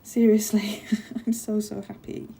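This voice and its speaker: A woman's voice: mid-range voice, level tone, unhurried, creaky, husky. A woman playing a friendly, chatty giantess office worker in an ASMR roleplay, caring and a little hesitant as she talks to a tiny colleague. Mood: caring, confiding. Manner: bubbly, caring, apologetic, hesitant with soft laughs. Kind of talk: ASMR roleplay, one-sided conversation.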